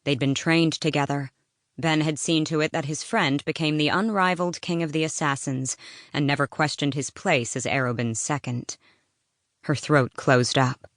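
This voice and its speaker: Low-voiced woman narrating dramatic fiction. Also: steady tone, normal speed, no room sound, clean studio sound. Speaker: audiobook narrator.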